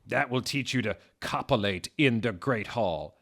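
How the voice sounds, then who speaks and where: A man voicing a mean old wizard headmaster in a comic dramatic reading, scolding and shouting in theatrical outrage. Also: mid-range voice, expressive, normal speed, creaky, pitched above the performer's own voice.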